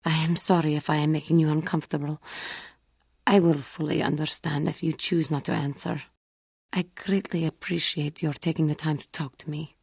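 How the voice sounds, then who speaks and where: An actress playing a proud but guilt-haunted female feline alien warrior in a video game, speaking formally and earnestly, with halting care. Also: low voice, flat delivery, creaky, darkish tone, slightly rough, no room sound, studio quality, full character voice. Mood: shy, apologetic. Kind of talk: scripted video game character dialogue.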